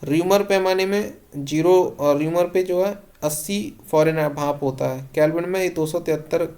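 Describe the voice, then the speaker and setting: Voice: medium pitch, normal speed, warm tone. A teacher reads out revision questions and gives each short answer in an instructional way. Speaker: exam-prep science teacher. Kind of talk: question-and-answer revision lesson read from notes.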